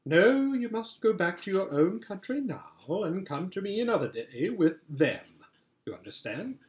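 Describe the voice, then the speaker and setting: A man's voice: high-pitched, sing-song, a little slow, voice pushed up. A man voicing a scheming, regal witch queen from a storybook, sweet-talking and imperious. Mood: persuasive, firm.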